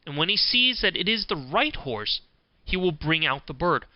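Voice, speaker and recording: A man voicing a wise, helpful storybook fox who gives measured, instructive counsel. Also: a little high, very animated, dry recording, muffled recording. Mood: calmly instructing.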